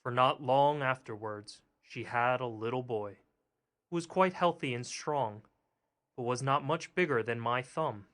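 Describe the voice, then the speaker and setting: A man narrating a fairy tale as an audiobook, in a measured, steady reading. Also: mid-range voice. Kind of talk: scripted storybook narration.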